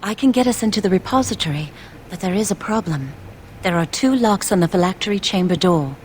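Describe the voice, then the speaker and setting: A woman playing a devout, quietly determined young initiate in a video game, solemn and measured. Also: medium pitch, animated, a little hiss or hum, close to an everyday voice. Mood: persuasive. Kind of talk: scripted video game dialogue.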